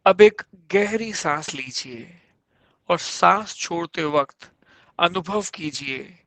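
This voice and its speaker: Male meditation instructor, fairly high voice, very slow measured phrasing with pauses, guiding deep relaxation. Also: animated, fairly bright tone, no room sound. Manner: measured, instructive, pausing between short phrases. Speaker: yoga instructor. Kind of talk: guided yoga nidra meditation, slow spoken instructions.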